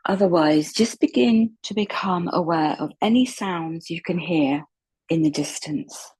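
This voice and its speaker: A woman leading a slow guided meditation in a soft, breathy voice, calm and unhurried, with long pauses. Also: lowish voice, rough recording. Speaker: guided relaxation meditation instructor.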